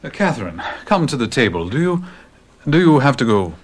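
An actor playing a stiff, courteous but disdainful young husband in a radio drama. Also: male voice, mid-range voice, big pitch swings, a little fast. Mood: awkwardly polite.

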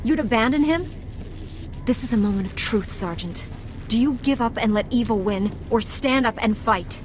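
Actress as earnest jedi heroine, fairly high voice, faint ambience behind, game dialogue. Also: female voice, normal speed, slight background noise, natural voice. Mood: rousing. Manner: earnest, exhorting. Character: resolute, idealistic female Jedi knight. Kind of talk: video game voice acting.

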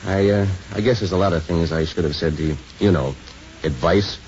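A man's voice: low-pitched, a little fast, a little mellow, close to an everyday voice. Kindly priest in muffled old radio played by a man. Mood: gentle concern. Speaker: radio actor. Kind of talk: 1950s radio acting. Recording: noisy muffled 1950s radio broadcast.

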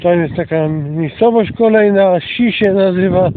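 A man's voice: high voice, measured pace. A man rambling casually as he hosts a walk through the countryside, recorded outdoors on a phone. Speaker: host of a homemade audio walk recording. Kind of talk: casual rambling commentary while walking. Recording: tinny phone-quality recording with outdoor noise.

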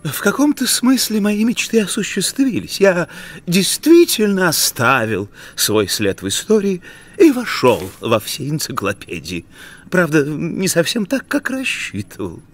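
An actor voicing an earnest, insecure middle-aged moose scientist in a cartoon, with a pedantic, hesitant manner. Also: male voice, fairly high pitch, big pitch swings, measured pace. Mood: dejected. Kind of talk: animated cartoon character acting.

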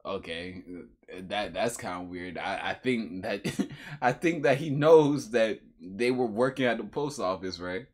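A young man with a husky voice reacts casually from a bedroom. His delivery is slangy and repetitive, unhurried but with lively swings in pitch. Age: young adult. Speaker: reaction video host. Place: small bedroom, indoors.

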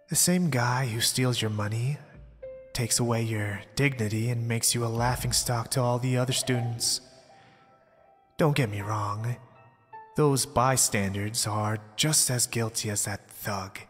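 A man's voice: medium pitch, natural voice. A man playing a mysterious young zealot, polite, pompous and persuasive, in an ASMR roleplay drama. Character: mysterious, smooth-talking young male zealot cult recruiter. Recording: long reverb, background music. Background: music.

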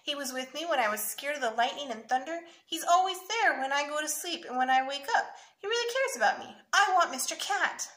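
A woman voicing an excitable, demanding, whiny little boy in a storybook, pleading and exclaiming like a child. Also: sits high, a little fast, few pauses, pitched above the performer's own voice. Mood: earnest, pleading. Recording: roomy echo, faint noise.

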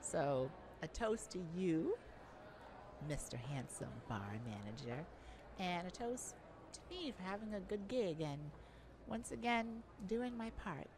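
An affectionate young male singer played by a woman speaks in an intimate ASMR roleplay, with pitch that swings widely and easily. He is modest, hesitant and sweet-talking, and takes his time. There is some noise in the recording. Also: animated, a little slow. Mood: bashful, excited.

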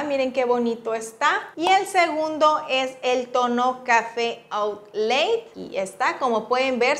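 A woman's voice: high-pitched, fairly bright tone. A woman with a Mexican accent giving a casual, chatty makeup review as a beauty vlogger, informal and full of affectionate diminutives. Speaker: beauty vlogger, channel host.

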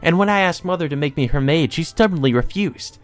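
A man's voice: fairly high pitch, animated, soft background music, voice pushed up, lightly acted. A man voicing a deranged, lovesick teenage sister from a visual novel game, with theatrical, mocking, coaxing delivery. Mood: scornful. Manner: taunting, theatrical, drawn-out coaxing.

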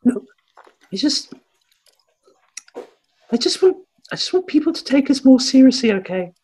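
A woman's voice: sits high, big pitch swings, normal speed, a little mellow, husky, heavily acted. A woman playing an earnest, cliquish senator in improvised comedy, pleading and repeating herself. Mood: pleading, exasperated. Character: earnest, image-conscious senator, cliquish and reluctant.

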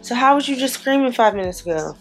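A woman's voice: fairly high pitch, animated, fast, a bit bright, faint music behind, rough recording. A young woman vlogging casually to a handheld camera at home, chatty and teasing, bluntly scolding her daughter at times. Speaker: mom vlogger. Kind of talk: casual vlog chat to camera. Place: home kitchen and backyard pool. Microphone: handheld camera at arm's length.

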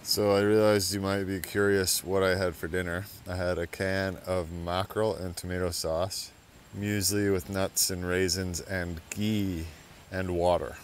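A Canadian man in his thirties, tired after a long paddle, chatting casually to camera from a jungle beach on a handheld camera mic, relaxed and self-mocking. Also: low voice, unhurried, a little hiss or hum. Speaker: vlogger, long-distance sea kayaker. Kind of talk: casual travel vlog to camera. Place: outdoors on a jungle beach by the sea.

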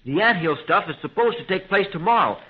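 A man's voice: high-pitched, expressive, quick pace, breathy edge. An actor playing a plucky, determined young male adventurer, eager and slangy, in a 1930s radio serial. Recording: dull, lo-fi 1930s radio recording.

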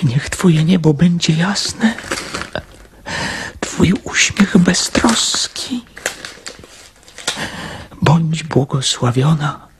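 An actor playing a lonely, tender young man in love in a radio play, hushed and full of emotion. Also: a little high, expressive, noisy background. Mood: wistful, rapturous. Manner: hushed, emotional, slow delivery. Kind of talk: radio drama acting. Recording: radio drama with string music underneath.

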